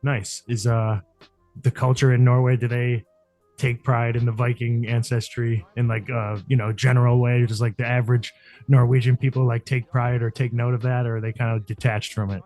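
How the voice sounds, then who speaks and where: A man's voice: mid-range voice, level tone, moderate pace, a little mellow, no echo, faint music behind. A man hosting a podcast in loose, casual chat, full of "like" fillers and offhand swearing. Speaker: podcast host.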